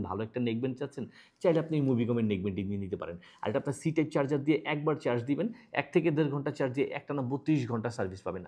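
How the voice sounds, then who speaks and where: A man with a Bangladeshi accent giving an informal, persuasive sales pitch as an online shop presenter, stressing his points repeatedly. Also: a little mellow, husky.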